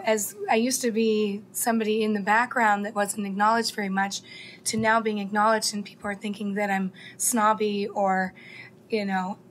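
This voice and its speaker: A woman's voice: medium pitch, a little hiss or hum. Chatty woman in a group discussion. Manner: conversational, many "you know" fillers. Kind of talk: personal testimony.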